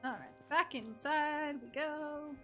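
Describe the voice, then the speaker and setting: Woman live-streaming a game: casual, silly, bright high voice, unhurried, light music behind. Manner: playful, giggly, little exclamations and sound noises.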